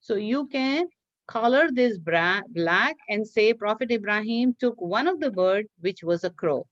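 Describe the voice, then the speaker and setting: Female craft teacher for kids, unhurried and patient, repeats each step; dry, slightly dull lo-fi sound. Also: medium pitch, expressive, no echo. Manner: patient, repetitive, checks with listeners. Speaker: teacher leading a children's craft class. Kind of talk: step-by-step craft instruction for children.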